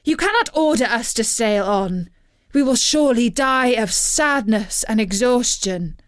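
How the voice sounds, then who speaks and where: A woman voicing a weary, defiant sailor lord in a storybook myth, protesting loudly in an accusing, pleading tone. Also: a little high, lively intonation, measured pace, no room sound, clean studio sound.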